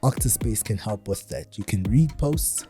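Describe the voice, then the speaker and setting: A man's voice: on the low side, expressive, normal speed, dark tone, faint music behind. A man hosting a podcast, asking interview questions and reading an ad segment, often dropping in short affirmations. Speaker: podcast host.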